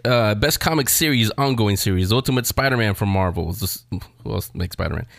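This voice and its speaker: A man with a fairly low voice hosts a casual interview in a dry-sounding space. He chats informally and likes to slip in wisecracks as he goes. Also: lively intonation, fairly quick, gravelly, a bit bright, no room sound. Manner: joking, wisecracking. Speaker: show host and interviewer.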